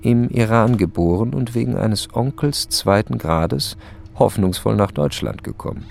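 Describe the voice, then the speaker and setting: Slow, low, gravelly male narrator. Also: expressive, darkish tone, soft background music. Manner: terse, matter-of-fact, clipped fragments. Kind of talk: scripted radio play narration.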